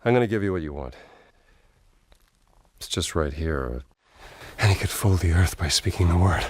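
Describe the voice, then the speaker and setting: An actor playing an excitable, unhinged, obsessive man in a theatrical video game role. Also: low-pitched, moderate pace, husky, dry recording. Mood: conspiratorial.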